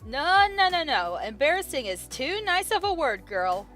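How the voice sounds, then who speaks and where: A woman's voice: high voice, sing-song, moderate pace, fairly bright tone, soft background music. A woman playing a supportive, teasing teenage best friend in an audio drama. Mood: teasing, encouraging. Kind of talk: fan audio drama acting.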